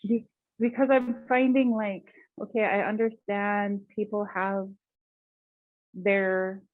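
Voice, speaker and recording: A soft-spoken woman caller who hesitates and searches for words as she asks her question. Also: mid-range voice, level tone, slow pace, no echo. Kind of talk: casual chat. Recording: dry, tinny call line.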